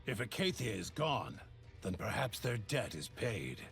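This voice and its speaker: An actor voicing a grim, weary old wandering rune mage for a video game, speaking in a solemn, weighty, gravelly way. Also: male voice, fairly low pitch, very animated, a little slow, fairly bright tone, exaggerated voice. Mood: resolute. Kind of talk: video game character voice lines. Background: music.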